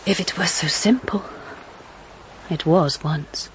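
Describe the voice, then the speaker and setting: Young heroine in a period radio play, wistful n earnest, bit of background noise. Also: female voice, on the low side. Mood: wistful, reflective. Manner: reflective, literary, measured. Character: Agnes Grey, earnest, wistful, hopeful young woman narrator. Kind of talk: period audio drama narration and dialogue.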